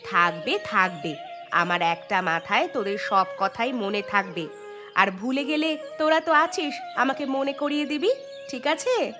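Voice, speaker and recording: A woman's voice: fairly high pitch, lively intonation, unhurried. A woman voicing a stern, distrustful mother in a cartoon, with a scolding, emphatic manner. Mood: mildly exasperated. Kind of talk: Bengali cartoon story dialogue. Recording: music behind the voice.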